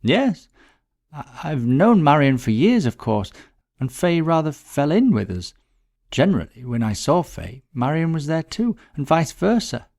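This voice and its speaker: A man's voice: fairly high pitch, big pitch swings, unhurried, creaky, darkish tone, voice pushed up, heavily acted. A man voicing a distressed, bitter woman in a mystery story, talking in a dramatic, gossipy, emphatic way. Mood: emphatic, dismissive. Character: distressed, bitter middle-class woman recounting a friend's death.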